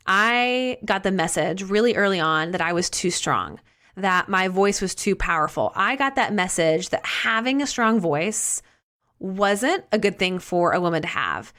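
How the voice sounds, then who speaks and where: Lady podcast host, middle-aged, mid pitch, earnest chatty coaching talk, slows down to stress stuff. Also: fairly bright tone. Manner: earnest, conversational, with many restarts and some slow, emphatic phrasing.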